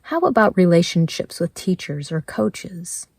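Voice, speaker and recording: Woman, guided meditation narration, slightly low pitch, unhurried pace, gentle measured prompts. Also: big pitch swings, creaky, no room sound, studio quality.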